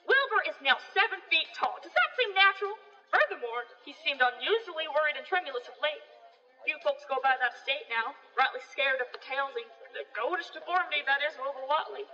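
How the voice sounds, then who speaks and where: An uneasy country gossip acted by a woman speaks in a high, forceful voice, spinning ominous folksy tales for a radio play. The sound is muffled and rings in a long hall-like reverb. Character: gossipy, uneasy rural New England villager, a farm neighbor. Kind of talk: radio drama acting, storytelling. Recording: dull echoing hall with music behind.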